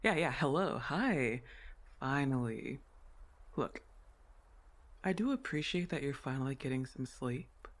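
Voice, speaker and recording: A woman's voice: low voice, gravelly, exaggerated voice. A woman playing an awkward, well-meaning sleep paralysis demon in an ASMR roleplay, talking in a hesitant, flustered and reassuring conversational way. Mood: flustered, friendly.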